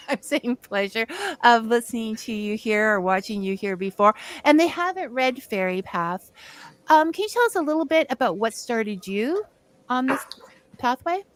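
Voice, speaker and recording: A woman hosting a casual online talk show, chatty and unhurried, laughing often as she introduces her guest. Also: a little high, animated, dry recording. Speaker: host.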